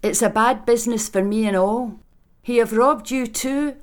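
Guy doing a whiny old-timey landlady, way up high, fussing and sorry for herself. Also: normal speed. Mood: aggrieved. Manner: high, wailing, old-fashioned country speech. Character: fretful middle-aged inn landlady, self-pitying.

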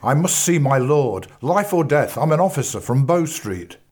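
A man doing a fierce, gruff Bow Street officer. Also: on the low side, a little slow. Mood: urgent. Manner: blunt, rough old-fashioned speech.

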